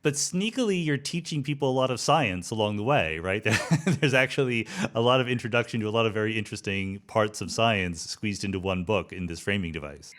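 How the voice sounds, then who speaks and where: Low-voiced man hosting a podcast. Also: fairly quick, hardly any pauses, a bit bright. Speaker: podcast host. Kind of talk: conversational monologue and interview.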